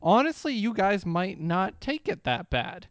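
A podcast co-host man who delivers his jokes deadpan, in a slightly high, lively voice. The sound is dry and close, with no room behind it. The audio is lo-fi. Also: a little slow, no echo. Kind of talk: casual podcast banter.

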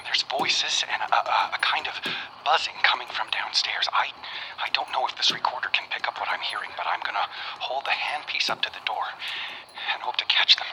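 A man playing a bewildered, frightened investigator in a radio drama, breathy and halting. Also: medium pitch, natural voice, low-quality recording. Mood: hushed, fearful. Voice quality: breathy, often whispering. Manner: hesitant, halting.

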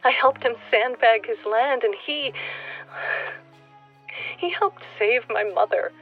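An earnest newcomer in a radio play, confiding with wistful wonder and sometimes dropping to a hush. The sound is slightly muffled, with music underneath. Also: female voice, fairly high pitch, slow. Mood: tender, earnest. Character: earnest nature-loving newcomer woman speaking to a departed listener.

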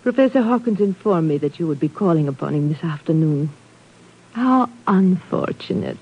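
An actress playing a sly, worldly, flirtatious secretary with a coy, languid manner in a 1950s radio drama. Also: female voice, on the low side, animated, normal speed, slightly rough. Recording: muffled old radio recording.

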